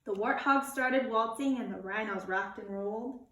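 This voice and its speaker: High-pitched woman, expressive storybook read-aloud, animated teacher delivery, roomy echo. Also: moderate pace. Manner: animated, acts out character lines. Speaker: elementary school teacher reading to pupils. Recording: roomy echo, distant microphone.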